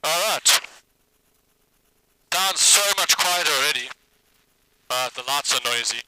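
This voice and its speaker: A man's voice: a little high, a little slow, pushed and bright. A man piloting a small aircraft, talking in short clipped phrases over a headset. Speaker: pilot vlogger. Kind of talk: cockpit talk and radio calls while flying. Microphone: aviation headset. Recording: noisy cockpit intercom with engine drone.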